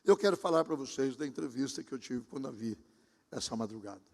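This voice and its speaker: A man's voice: mid-range voice, animated, fairly quick, creaky. A pastor in his sixties giving a sermon, talking conversationally and speaking directly to his congregation. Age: 60s. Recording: long hall reverb.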